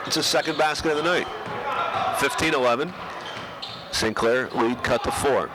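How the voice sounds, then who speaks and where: A man with a head cold, a little nasal and hoarse, calling a high school basketball game play by play with frequent restarts. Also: moderate pace. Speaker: play-by-play announcer. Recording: loud crowd and gym noise behind. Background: crowd and bouncing basketball.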